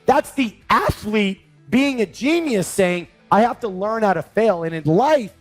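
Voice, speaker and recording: A high-voiced man hosts an interview with rambling, emphatic energy. His pitch leaps around constantly as he riffs. Faint music plays underneath. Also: expressive, measured pace. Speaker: show host. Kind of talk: animated interview hosting.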